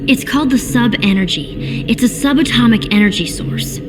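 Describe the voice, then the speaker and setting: A woman's voice: a little high, expressive, moderate pace, big-room sound, over music, lightly acted. An actress playing a clever, level-headed teenage girl with an earnest, explanatory delivery in a cartoon video game. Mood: serious.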